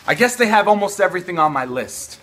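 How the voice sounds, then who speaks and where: A young man making a prank phone call from a room at home, asking questions in a deadpan, mock-earnest way. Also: sits high, quick pace, faint music behind. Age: young adult. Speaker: prank caller, video host. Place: small furnished room at home, indoors.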